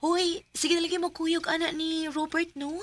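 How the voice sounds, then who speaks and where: A woman's voice: very high-pitched, level tone, moderate pace, loud music behind the voice. A woman playing a blunt, worldly coworker who scolds her friend. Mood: warning.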